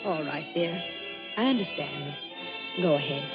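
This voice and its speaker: An actress playing an anxious, theatrical wife haunted by dread, dramatic and fretful in 1940s radio style. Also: female voice, low-pitched, unhurried. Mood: subdued, anxious. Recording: muffled vintage radio recording with music.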